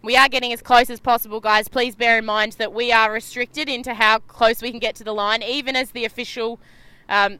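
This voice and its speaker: Loud, bubbly woman commentating sailing. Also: on the high side, clear, resonant tone, no room sound. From Australia. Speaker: live race commentator. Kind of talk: live sailing commentary from a boat. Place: media boat on the water.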